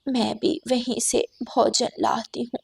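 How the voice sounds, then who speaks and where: Casting: woman to play an elderly cartoon dove, soft, caring, unhurried. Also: a little high, level tone, creaky, husky, no echo, full character voice. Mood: gentle, caring. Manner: gentle, soft-spoken, caring. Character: kindly old mother dove.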